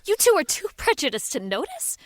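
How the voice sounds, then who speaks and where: Young, defensive, melodramatic daughter, extremely high and lively pitch, petulant pleading, audio comedy. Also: female voice, a little fast. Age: young adult. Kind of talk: comic audio drama acting.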